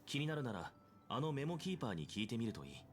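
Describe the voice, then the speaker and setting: A man voicing a serious, reserved young companion in an anime video game, speaking in a measured, stoic way. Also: medium pitch, big pitch swings, natural voice. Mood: calm, serious.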